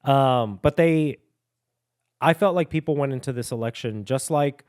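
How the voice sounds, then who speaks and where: A young man co-hosting a podcast, joking and rambling in casual chat with quick laughs. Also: mid-range voice, darkish tone, clean tone, no room sound, clean studio sound. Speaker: podcast co-host. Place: home studio with dogs.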